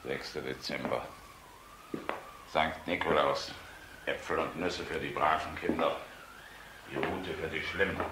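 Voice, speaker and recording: Deep-voiced, weary German general. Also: male voice, steady tone, unhurried, pauses often, background noise. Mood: weary, brooding. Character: weary, plain-spoken German air force general, courteous but blunt. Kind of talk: radio play acting.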